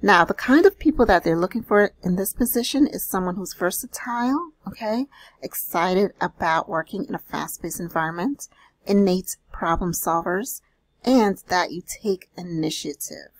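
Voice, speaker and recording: A woman doing an explanatory voice-over, reading out and paraphrasing listings in a deliberate, instructional manner with small check-ins. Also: on the low side, lively intonation, no echo, low-quality recording. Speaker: host of a job-leads channel.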